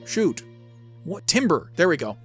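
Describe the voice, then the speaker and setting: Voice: mid-range voice, very animated, unhurried, music in the background. A game streamer with a playful manner, giving casual let's play commentary.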